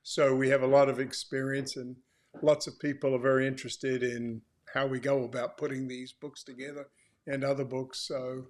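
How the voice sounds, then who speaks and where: A man's voice: medium pitch, little pitch movement, normal speed. An older man co-hosting as an author, giving practical information in an informal, matter-of-fact way. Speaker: author co-hosting a welcome video.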